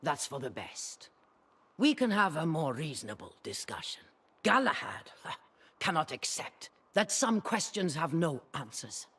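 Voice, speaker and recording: A woman playing a centuries-old reclusive sorceress crone in a video game, raspy and husky, theatrical and deliberate, haughty and brooding. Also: low voice, very animated, fairly bright tone, full character voice. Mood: sly, mocking. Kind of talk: dramatic video game acting. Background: background music.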